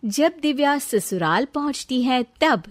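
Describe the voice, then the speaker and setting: Female narrator for moral tales, scripted storytelling delivery, slightly high pitch. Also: animated, slow pace.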